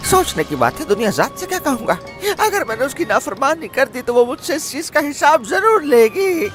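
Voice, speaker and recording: Servile, anxious male porter, cartoon: high, hesitant, animated fretting. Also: normal speed. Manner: hesitant, fretting, stammering fillers. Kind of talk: Hindi cartoon dub acting. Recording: voice over loud music.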